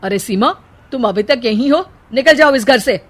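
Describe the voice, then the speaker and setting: A woman's voice: on the high side, lively intonation, normal speed. A woman voicing an earnest, emotional young man, the younger brother in a cartoon moral story. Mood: angry.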